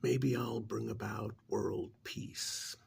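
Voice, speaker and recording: A man voicing an ancient, contemptuous creature in a stolen human body, in a menacing, breathy, gravelly ASMR roleplay monologue. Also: lowish voice, fairly even intonation, slow, darkish tone, exaggerated voice. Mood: scornful, exasperated. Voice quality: gravelly, husky, breathy half-whisper. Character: ancient disdainful male creature possessing a dead man's body.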